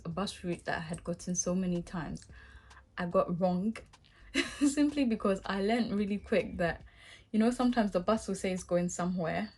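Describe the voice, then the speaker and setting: A young woman from Ghana with a Ghanaian accent, casually telling a story to her vlog viewers and acting out her own reactions. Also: mid-range voice. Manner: animated, acts out her own past reactions with laughs. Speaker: vlogger.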